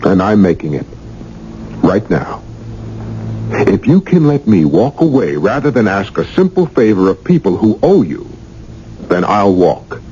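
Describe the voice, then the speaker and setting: An actor playing a frustrated, straying husband in a 1980s radio drama, sardonic and impassioned. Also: male voice, fairly low pitch, very animated, measured pace, long pauses, warm tone. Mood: bitter, defiant. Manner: sardonic, exasperated. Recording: muffled, noisy old radio broadcast.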